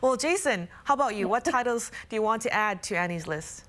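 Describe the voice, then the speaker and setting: A woman's voice: on the high side, animated, brisk, fairly bright tone. A woman hosting a news program, asking guests upbeat, friendly questions. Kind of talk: interview moderating.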